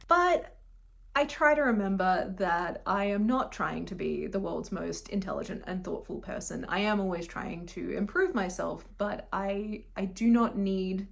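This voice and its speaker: A woman's voice: fairly low pitch, vocal fry. A woman in her thirties with a slightly unusual Australian accent, chatting casually to camera in a rambling, self-deprecating way. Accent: Australian, a bit unusual. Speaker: booktube vlogger. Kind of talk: casual direct-to-camera vlog.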